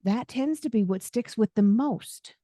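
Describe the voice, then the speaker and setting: A woman hosting a podcast interview, chatty and quick to laugh. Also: fairly high pitch, warm tone, no room sound, clean studio sound. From Southern United States. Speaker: podcast host. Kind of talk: podcast interview, friendly chat.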